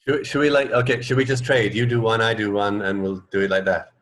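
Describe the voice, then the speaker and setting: A man with a low-pitched voice co-hosts a radio show. He talks in a casual, chatty style, pausing and rephrasing as he thinks aloud. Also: flat delivery, fairly bright tone. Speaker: radio co-host. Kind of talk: casual chat between music.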